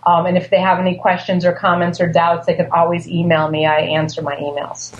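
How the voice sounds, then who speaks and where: A woman from Chicago, on a radio show as a guest, who explains things calmly. Her voice sits slightly low. The sound is roomy and echoey. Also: brisk, dull audio, slight background noise. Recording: roomy echo.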